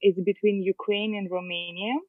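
A woman's voice: medium pitch, steady tone, fairly quick, no room sound. Realtor guest, a woman in her thirties with a Russian accent, over a thin phone-like call line. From Moldova. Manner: chatty, hesitant, many fillers. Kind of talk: casual interview. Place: home room, indoors. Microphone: video call. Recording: dry tinny video call audio.

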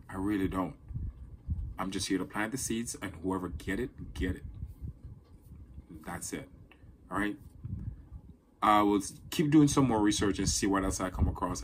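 A man in his forties talks straight into a phone camera, emphatic and repetitive, hands moving as he speaks. His voice sits a little low. A faint hiss of room ambience sits behind him. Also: moderate pace, pauses often, low-quality recording. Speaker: social media creator. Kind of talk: talking-head monologue to camera. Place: indoors in front of a green screen. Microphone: phone or camera close in front.

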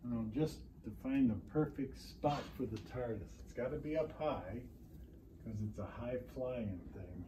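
A man's voice: mid-range voice, measured pace, noisy background. An older man chatting casually at home as he shows off his collectibles. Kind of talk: casual chat, showing off keepsakes. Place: living room and kitchen at home.

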